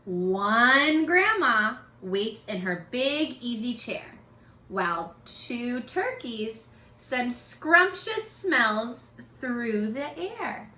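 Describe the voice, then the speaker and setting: A woman teacher giving a read-aloud lesson for young children, expressive and encouraging, asking questions as she goes. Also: a little high, extremely slow. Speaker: elementary school teacher. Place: living room at home. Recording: roomy, echoey home video.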